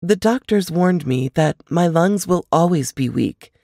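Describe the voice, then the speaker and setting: A woman's voice: lowish voice, lively intonation, measured pace, vocal fry, mellow, dry recording, clean studio sound, full character voice. Woman reading as an earnest, frail young woman. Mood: resigned, brave.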